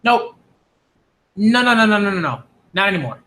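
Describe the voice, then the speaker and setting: A man's voice: high-pitched, very animated, normal speed, sharp tone. A man in his late twenties, a channel host talking straight to his viewers in an emphatic, repetitive, exasperated way. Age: 20s. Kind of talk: direct-to-camera rant.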